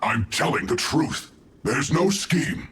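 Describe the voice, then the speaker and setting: An actor playing a bullied, indignant soldier in a video game, pleading in an exasperated, theatrical way. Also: male voice, a little high, expressive, takes it slowly, a bit bright, slight background noise. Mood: defensive, pleading.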